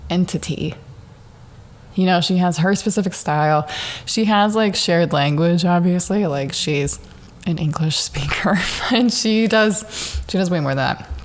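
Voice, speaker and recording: A young woman talking on her own at home in a slightly low voice. She rambles from thought to thought, chatty and quick to mock herself, and leans on "like" constantly. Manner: rambling, chatty and self-mocking, heavy use of "like". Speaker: podcast host. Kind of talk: casual solo monologue. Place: at home in the dark during a power outage.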